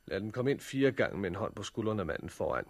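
A man's voice: fairly low pitch, sing-song, creaky, full character voice. An actor playing a level-headed, defiant captive man in a 1960s radio drama, speaking with dry restraint. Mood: practical, steady.

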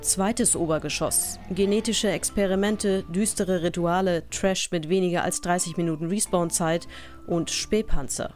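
A woman's voice: fairly low pitch, fairly even intonation, moderate pace, a little mellow, soft background music, lightly acted. A woman playing a formal, detached elevator announcement voice in a parody audio drama.

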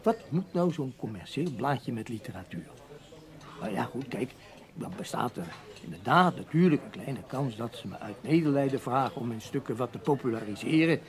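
Dutch radio drama: proud, resigned middle-aged man of letters played by a male actor, wordy and hesitant, creaky voice with big swoops of intonation. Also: mid-range voice, lively intonation, moderate pace, lightly acted. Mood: resigned, protesting. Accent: Dutch, Netherlands. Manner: wordy, hesitant, protesting with repeated little words. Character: proud, resigned middle-aged literary newspaper writer, gentlemanly. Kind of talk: Dutch radio play acting.

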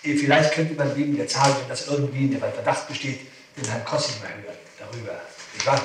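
A man's voice: medium pitch, fairly even intonation, fairly quick. A man speaking urgently during an interrogation, repeating himself and interjecting, on an old archival tape. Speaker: participant in a police questioning. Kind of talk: interrogation conversation. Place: echoey room, recorded from far off. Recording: distant, echoey, noisy archival tape.

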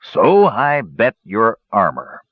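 A man's voice: fairly low pitch, huge pitch swings, slow pace, dry recording, phone-quality sound, voice pushed up, exaggerated voice. A man voicing a sheepish, wheedling dwarf gambler in a fantasy audiobook, confessing with hedges and sighs. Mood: hedging. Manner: hesitant, hedging, sighing confessions with folksy turns.

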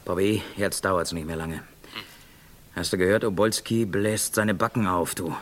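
A man's voice: low-pitched, fairly even intonation, unhurried, a bit bright, a little hiss or hum. An actor playing a brash, impatient reporter in a German crime radio play, bossy and slangy as he gives an urgent account. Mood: excited urgent. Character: brash, impatient male American reporter narrating live.

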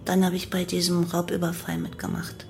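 A woman's voice: lowish voice, monotone, brisk, vocal fry, a little mellow, slight background noise. A woman playing a young female cult follower who recounts her life and crimes matter-of-factly in a German radio play.

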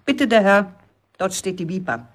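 A woman's voice: medium pitch, animated, normal speed, close to an everyday voice. An actress playing a middle-aged pub landlady and strict mother in a German radio play, brusque, nagging and colloquial. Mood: brusque, indignant.

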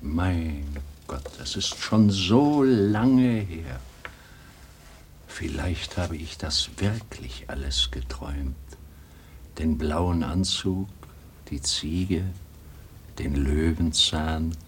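Deep, slow-spoken old grandfather. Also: a little hiss or hum. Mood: wistful. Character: troubled old grandfather longing for the remembered outside world. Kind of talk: German radio play acting.

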